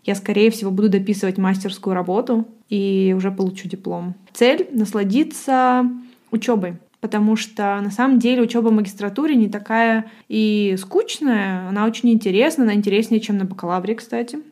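A woman's voice: medium pitch, a little fast, a little mellow. A woman in her late twenties co-hosting a podcast, chatting casually and self-ironically, thinking aloud with fillers. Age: late 20s. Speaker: podcast co-host. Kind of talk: casual chat. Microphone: podcast microphone.

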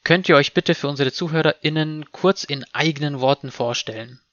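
A man hosting a discussion podcast, speaking in a measured, formal and articulate way. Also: on the high side, a bit bright, no room sound, lo-fi sound. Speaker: podcast host moderating a panel. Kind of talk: scripted introduction, moderated discussion.

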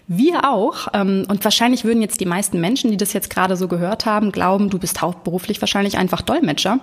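A woman's voice: medium pitch, fairly quick, slightly rough. A woman hosting an interview, asking long open questions with interest. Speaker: host. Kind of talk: conversational interview.

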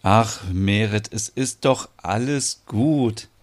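A man voicing a composed, affectionate adult woman in an audio story, reassuring and gently teasing. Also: fairly low pitch, measured pace, lightly acted. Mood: soothing.

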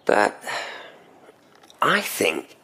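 A man's voice: very slow, bright, forceful tone. A man playing a polite, troubled investigator in an audio drama, courteous, earnest and a little hesitant. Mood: pensive. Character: Karl Gruber, polite, troubled male investigator.

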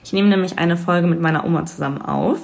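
A young woman hosts a relaxed podcast chat and laughs easily as she leads the talk. Her voice sits a little low, and her tone is friendly and informal. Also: fast talker, vocal fry. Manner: giggly, friendly prompting. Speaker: podcast host.

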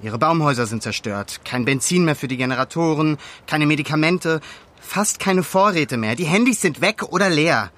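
A man's voice: on the high side, expressive, normal speed, fairly bright tone. A man playing an indignant young environmental activist in an audio drama, talking in an emphatic, slangy way. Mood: grim. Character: indignant young male forest-protest activist. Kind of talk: naturalistic audio drama dialogue.

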